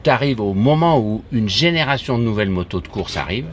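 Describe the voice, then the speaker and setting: A man's voice: mid-range voice, expressive, brisk. An older man interviewing a guest, articulate and building long explanatory sentences. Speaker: interviewer, motorsport journalist. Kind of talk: conversational interview. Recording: audible background noise.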